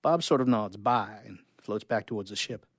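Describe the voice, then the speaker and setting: A man performing a live reading of short stories, with expressive delivery and casual asides. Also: on the low side, normal speed, slightly rough, dry recording, studio quality.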